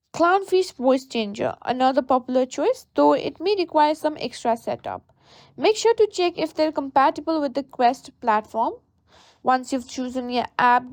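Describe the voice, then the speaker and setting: A woman delivers scripted how-to narration in a high-pitched voice. The sound is dry and close, with very little room to it, as she takes the listener through instructions as a tutorial presenter. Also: a little mellow, dry recording.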